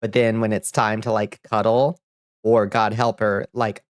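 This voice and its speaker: A man's voice: fairly low pitch, little pitch movement, a little slow, dry recording, studio quality. A man streaming a game, chatting casually with viewers and reading their messages aloud, a little sniffly, rambling and laughing easily. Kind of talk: casual chat while gaming.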